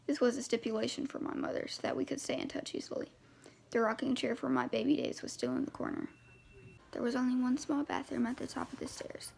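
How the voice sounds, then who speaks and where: Very creaky woman reading a novel aloud. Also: a little high. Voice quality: creaky voice with vocal fry. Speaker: amateur audiobook reader. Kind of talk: scripted first-person novel narration, read aloud.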